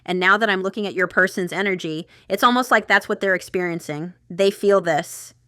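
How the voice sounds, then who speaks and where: Bright-voiced woman giving a casual tarot reading. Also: mid-range voice, fairly quick, no echo. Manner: chatty, rambling asides, thinking aloud. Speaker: tarot reader and channel host. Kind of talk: casual intuitive tarot reading, talking to the viewer.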